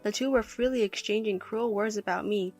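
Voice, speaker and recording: A woman's voice: mid-range voice, fairly quick, a little mellow, soft background music. A woman reading a scripted first-person story as narrator.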